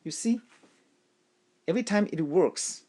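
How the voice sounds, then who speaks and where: A man whose first language is Taiwanese gives a language lesson, speaking deliberately and often repeating his phrases. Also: high voice, lively intonation, warm tone. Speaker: language teacher. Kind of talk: slow instructional talk.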